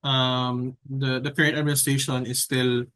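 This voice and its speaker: A young man from the Philippines with a Filipino accent, talking casually in an interview in a hesitant, measured way with frequent pauses. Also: medium pitch, level tone, a bit bright. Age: young adult. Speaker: editorial cartoonist being interviewed.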